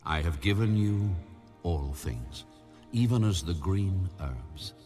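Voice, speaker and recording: A man narrating scripture in a solemn, measured, grave manner with deliberate pauses. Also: low-pitched, lively intonation, warm tone, faint music behind. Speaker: audio Bible narrator.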